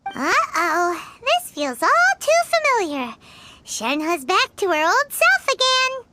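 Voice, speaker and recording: This is an excitable little floating sidekick from a game. Her voice sits very high and swoops up and down as she chatters. Heavy game music plays underneath. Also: takes it slowly, full character voice. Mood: wary. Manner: bubbly, exclamatory, refers to herself by name. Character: tiny chirpy floating companion girl, excitable. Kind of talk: English game voice acting.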